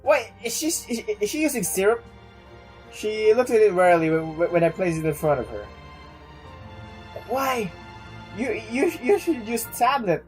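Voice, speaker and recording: A woman's voice: mid-range voice, sing-song, normal speed, pauses often, darkish tone, faint music behind, poor audio. A woman hosting a let's play, reading game text aloud and interjecting casually, often repeating words as she goes.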